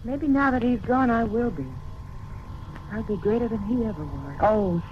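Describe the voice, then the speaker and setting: Actress playing catty old-radio sister over music. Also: female voice, on the high side, moderate pace, dull audio, lightly acted. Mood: intense. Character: dramatic, bickering daughter of a vanished musician. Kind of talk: 1950s radio drama acting. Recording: band-limited old radio recording with music.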